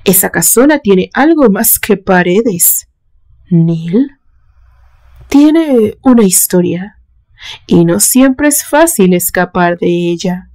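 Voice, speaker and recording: An elderly great-aunt from a gothic storybook, voiced by a woman reader, speaks with calm, wistful softness. Her tone is mellow and unhurried, with an enigmatic, melancholic air. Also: mid-range voice. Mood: serious, ominous. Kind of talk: dramatized storybook reading.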